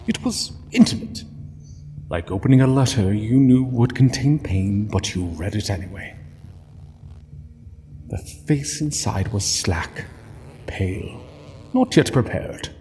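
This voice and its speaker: A man narrating a scripted literary story in a solemn, measured way with dramatic pauses. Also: lowish voice, lively intonation, dark tone, long reverb tail, a little hiss or hum.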